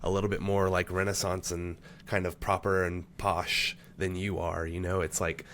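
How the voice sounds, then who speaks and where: Deep-voiced man giving tabletop advice. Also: flat delivery, normal speed, fairly bright tone, slight background noise. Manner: explains at length, hedging with fillers. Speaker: podcast co-host and player. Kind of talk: casual tabletop gaming discussion.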